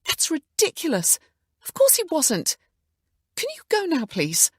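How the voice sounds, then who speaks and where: A woman's voice: mid-range voice, normal speed, gravelly, a little mellow, husky, no room sound, studio quality, voice pushed up. A woman voicing a fragile, grieving young mother who turns evasive and agitated under questioning. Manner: tense and evasive, voice rising when pressed.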